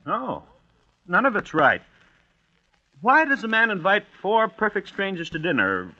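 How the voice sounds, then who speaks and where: High, sharp, fretful nervous man. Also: big pitch swings, a little slow, heavily acted. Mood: suspicious, anxious. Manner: anxious, hesitant, querulous. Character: nervous, fretful, suspicious male dinner guest. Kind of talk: 1940s radio drama acting. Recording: dull old radio recording.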